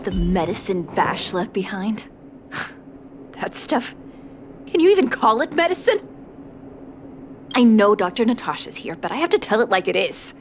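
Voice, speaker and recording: A woman's voice: medium pitch, very animated, normal speed, long pauses, a little hiss or hum. A woman playing an indignant, outspoken patient in a video game, blunt and accusing. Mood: indignant, scornful. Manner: blunt, accusing, rhetorical questions.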